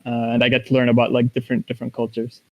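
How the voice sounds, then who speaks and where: Male interview guest from Kuwait, mid-pitched, conversational, halting delivery. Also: monotone, muffled recording. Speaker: interview guest, esports professional.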